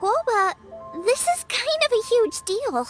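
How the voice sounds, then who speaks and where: Game casting: earnest little girl, tiny high voice, swooping intonation, halting delivery over music. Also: big pitch swings, heavily acted. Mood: shocked. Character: earnest, emotional young girl. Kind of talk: game cutscene acting. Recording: music behind the voice.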